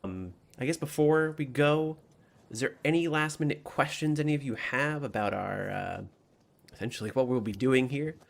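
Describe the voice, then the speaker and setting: A man's voice: mid-range voice, normal speed, creaky. A man speaking informally and chattily as a game master, narrating and commenting on a tabletop campaign.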